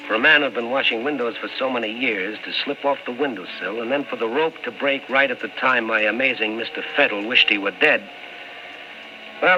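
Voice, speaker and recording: A man's voice: mid-range voice, lightly acted. A male actor playing a skeptical, dogged newspaperman in an old-time radio drama. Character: skeptical, dogged newspaperman narrating his investigation. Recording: muffled old radio recording.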